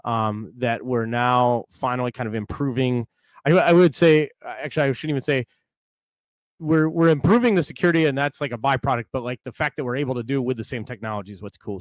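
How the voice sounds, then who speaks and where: A man's voice: medium pitch, no room sound. A middle-aged man giving an informal technical presentation, conversational and candid, with frequent asides. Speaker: conference presenter, software technologist. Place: indoor room with bookshelves, remote video presentation.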